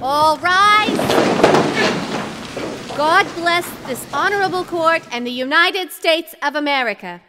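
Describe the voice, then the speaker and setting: An actress playing a loud, ceremonial court crier, proclaiming in a drawn-out way in an old-time radio drama. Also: female voice, extremely high pitch. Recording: loud background noise.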